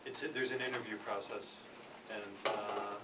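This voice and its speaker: A man presenting a peace program at a conference, speaking haltingly with many fillers and restarts. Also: on the low side, fairly even intonation. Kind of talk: conference panel talk. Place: church conference hall. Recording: distant, noisy conference recording.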